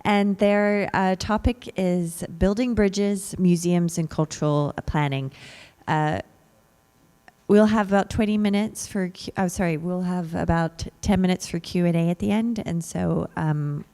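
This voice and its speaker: A woman's voice: fairly low pitch, unhurried, vocal fry, dark tone. A woman emceeing a conference session and introducing the speakers casually, with fillers.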